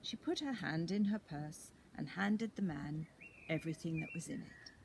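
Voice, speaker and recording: A woman reading a picture book to children in an animated, expressive storytelling style. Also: deep voice, moderate pace, slight background noise. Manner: animated, clearly paced narration.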